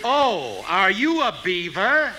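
An actor playing a polite, patient store clerk with courteous sales patter in an old radio comedy. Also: male voice, high voice, huge pitch swings, takes it slowly, full character voice. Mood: puzzled, polite. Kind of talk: 1940s-50s radio comedy acting. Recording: noisy old-time radio recording.

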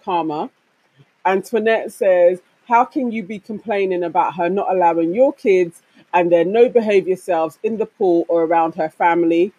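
A woman's voice: on the low side, normal speed, mellow, husky, poor audio. A woman co-hosting a casual chat show, reading out listener comments and laughing along. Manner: reads comments aloud, laughs often.

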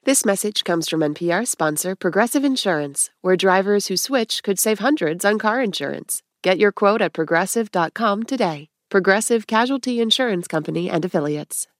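A woman's voice: mid-range voice, expressive, normal speed, hardly any pauses, dry recording, clean studio sound. An American woman reading scripted sponsor messages as an announcer. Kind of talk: scripted ad read.

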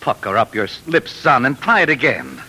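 A man's voice: mid-range voice, wildly swooping pitch, moderate pace. An actor playing a firm small-town father instructing his young son in a 1940s radio drama. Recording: very noisy vintage 1940s radio recording.

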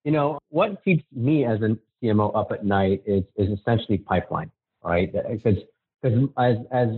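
A man from the Philippines speaks with a low, husky voice over a thin, phone-like line. He answers in an easygoing way and restarts his sentences now and then. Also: normal speed. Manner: relaxed and friendly, with frequent restarts. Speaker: marketing executive guest. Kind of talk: conversational interview answers. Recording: tinny phone-line sound.

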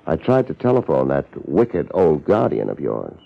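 A man's voice: very deep voice, wildly swooping pitch, moderate pace, creaky, heavily acted. A man acting as a world-weary, wisecracking private detective in a 1950s radio drama, talking in wry hardboiled slang. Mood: suspicious. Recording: muffled old radio drama with music.

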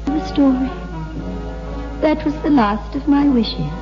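A woman's voice: high-pitched, takes it slowly. A woman playing an anguished, haunted widow in a theatrical, intense style of 1960s radio horror acting. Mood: haunted, drained. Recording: muffled old radio recording with music.

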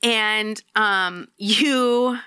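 A woman's voice: mid-range voice, very slow, bright, forceful tone, clear, resonant tone, dry recording, studio quality. A woman co-hosting a casual chat podcast, throaty from a cold, chatty and enthusiastic. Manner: chatty, enthusiastic, laughs often. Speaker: podcast co-host.

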